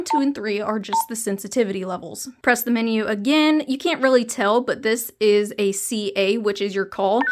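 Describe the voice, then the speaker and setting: A woman with a slightly high voice narrates a hands-on product review. She speaks as a reviewer addressing viewers, explaining each point step by step. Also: very noisy.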